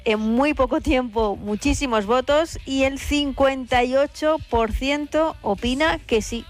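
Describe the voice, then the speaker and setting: A woman's voice: sits high, a little slow, soft background music. A woman contributor giving a conversational report on a radio show. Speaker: radio show contributor presenting listener polls.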